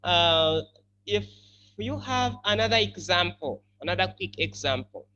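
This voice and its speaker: Male English teacher, slow deliberate explainer, forceful bright tone, repeats key words for learners. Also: sits high, expressive, dull audio, a little hiss or hum. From country formerly colonized by the UK. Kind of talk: classroom lesson on English.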